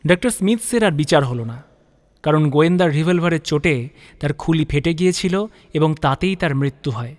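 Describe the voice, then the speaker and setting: A man's voice: fairly high pitch, expressive, a little fast. A man narrating a scripted detective story in a dramatic storytelling style.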